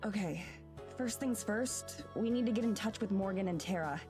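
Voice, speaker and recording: A woman voicing a gentle, self-assured young woman in a visual novel. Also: mid-range voice, normal speed, music in the background, lightly acted. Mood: calm assurance.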